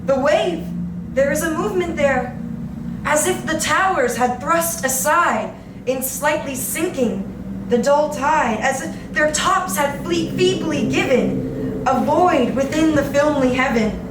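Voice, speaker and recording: A woman giving a solemn, theatrical and deliberate dramatic recitation of poetry. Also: high voice, lively intonation. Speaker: poetry reader. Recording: roomy, distant mic, loud background noise. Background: loud ambient noise.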